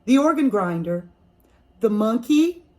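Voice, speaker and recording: A woman with a fairly high voice reads a children's story aloud as a teacher would. She takes it very slowly and deliberately. She often turns to her young listeners with questions and asides. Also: animated, dark tone. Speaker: fourth grade teacher reading aloud to children. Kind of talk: expressive children's picture-book read-aloud with asides to listeners.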